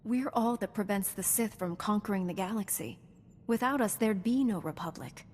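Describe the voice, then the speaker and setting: An actress playing a calm, principled Jedi warrior in a video game, measured and serious. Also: female voice, medium pitch, big-room sound, slight background noise, close to an everyday voice. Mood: earnest. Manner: measured, serious, gently admonishing.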